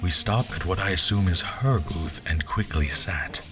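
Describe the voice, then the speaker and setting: Audio drama lead: actor as pompous male general, low voice, measured literary narration over prominent music. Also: lightly acted. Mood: brooding, observant. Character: formal, pompous, troubled male general recounting his memories.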